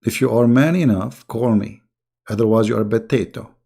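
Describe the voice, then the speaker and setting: Hoarse, taunting man with gravelly voice. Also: mid-range voice, a little fast, mellow. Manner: taunting, insulting, rambling. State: hoarse, losing his voice. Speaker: online religious commentator. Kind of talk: mocking casual monologue.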